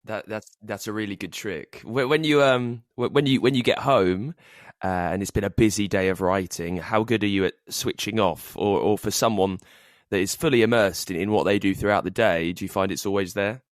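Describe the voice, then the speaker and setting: A man interviews in a conversational podcast style. His voice is a little low and recorded close and dry, with no room sound. He builds his questions haltingly, rewording them along the way. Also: no echo.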